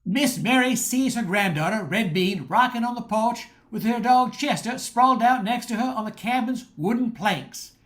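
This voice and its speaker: A woman reading scripted narration for a radio drama, as the storyteller between the characters' lines. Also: on the high side.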